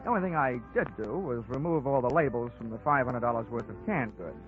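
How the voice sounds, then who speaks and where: A wry, tough male investigator, played by an actor in a mid-pitched voice. He wisecracks like an old radio detective, on a tinny recording with some music. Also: brisk, close to an everyday voice. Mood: dry, wry. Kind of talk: 1940s radio acting, first-person detective narration.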